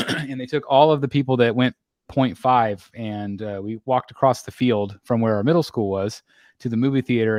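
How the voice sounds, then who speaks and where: A man in his forties hosting a casual panel chat, relaxed and full of ums and restarts. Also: fairly low pitch, no room sound. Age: 40s. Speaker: host.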